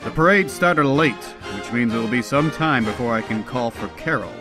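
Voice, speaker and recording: A man's voice: medium pitch, lively intonation, normal speed, over music, natural voice. A man playing a confident, earnest comic-book superhero recounting his exploits. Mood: matter-of-fact. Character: confident adult male superhero, earnest and quick-acting. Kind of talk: comic book performance, first-person recounting.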